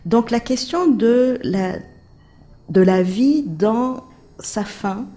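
Mellow-voiced woman asking long, halting questions. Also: a little high. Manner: hesitant, many "euh" fillers, builds long questions. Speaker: interviewer, literature teacher. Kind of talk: long, winding interview questions.

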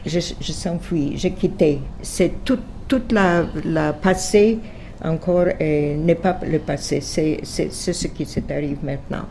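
An older woman giving testimony in a discussion, halting and searching for her words. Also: deep voice, darkish tone, a little hiss or hum. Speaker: guest, war survivor.

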